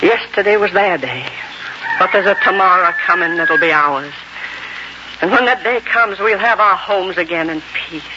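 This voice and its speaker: An actress playing a comforting, resolute frontier woman, calm and solemn in 1940s radio drama style. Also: fairly low pitch, moderate pace, natural voice. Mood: resolute hope. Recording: dull old radio broadcast, heavy noise.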